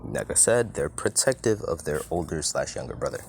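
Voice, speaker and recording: A man's voice: extremely low pitch, big pitch swings, brisk, creaky. A man narrating a fan-made story in a loose, chatty way, swearing casually and drifting into side remarks, with a scratchy voice.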